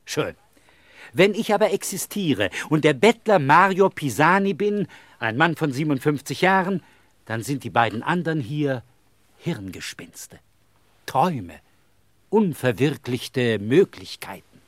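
An actor playing a desperate beggar in his fifties, halting and pleading, in a German radio drama. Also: fairly high pitch, very animated, no echo. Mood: insistent, bitter. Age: 50s. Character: desperate 57-year-old male beggar insisting he exists.

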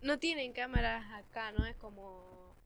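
A woman's voice: a little high, a little slow, creaky, a bit bright. A woman with an Argentine accent doing an improvised acting exercise, with some noise behind her. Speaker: improv theatre student. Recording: faint background noise.

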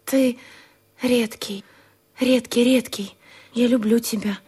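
A woman's voice: on the high side, fairly even intonation, slow, warm tone, slightly rough, exaggerated voice. An actress playing a naive, tender but touchy golden woman in a theatrical, exclamatory radio play style. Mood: tender, wistful. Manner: coquettish, exclamatory, swings from coaxing to indignation. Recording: studio radio play with music.

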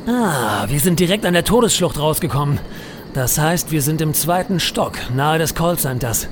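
A man's voice: fairly high pitch, animated, moderate pace, background noise, close to an everyday voice. A man playing a reckless, unflappable adventurer hero in a comedic audio drama, pompous, flippant and melodramatic. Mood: breezy, confident.

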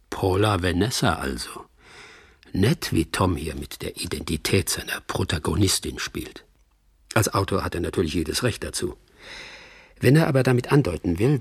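An actor playing a wry, analytical man commenting on a script in a radio drama, with a gravelly, husky voice. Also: low-pitched, no room sound, exaggerated voice. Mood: wry, sceptical. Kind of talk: radio drama monologue, explanatory.

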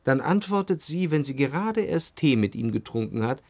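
An actor playing a reflective, well-off man of letters, narrating a literary radio play in a measured, analytical way. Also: on the high side, big pitch swings, a little mellow, a little hiss or hum. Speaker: first-person narrator.

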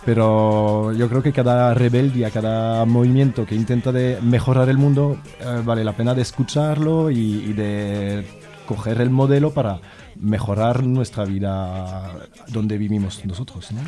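Mellow, hesitant male speaker. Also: medium pitch, fairly even intonation, measured pace, faint music behind. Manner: hesitant, searching for words. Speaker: circus performer and teacher being interviewed. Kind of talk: informal radio interview.

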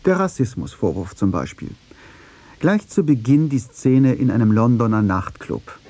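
A man's voice: mid-range voice, very animated, normal speed, warm tone. A man narrating a scripted radio feature in an argumentative style. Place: dry radio studio.

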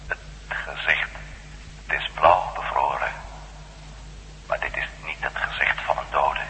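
A man acting in a Dutch radio drama as a former astronaut turned reporter exploring a tomb, with a gravelly voice and a slow, hushed, intent delivery. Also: extremely low pitch, steady tone, exaggerated voice. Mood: grave awe. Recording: echoey, noisy radio play recording.

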